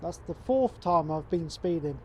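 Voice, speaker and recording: Male gaming host, congested from a cold, warm low-key tone, relaxed running commentary. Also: normal speed, slight background noise. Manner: relaxed, chatty, talks to viewers as folks. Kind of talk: casual gameplay commentary.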